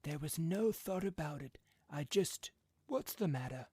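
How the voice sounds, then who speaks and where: A man reading a story as an excitable, stubborn old father, with a thin, husky, insistent voice. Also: on the high side, a little slow, no room sound, voice pushed up. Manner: agitated, insistent. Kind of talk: audiobook character voice.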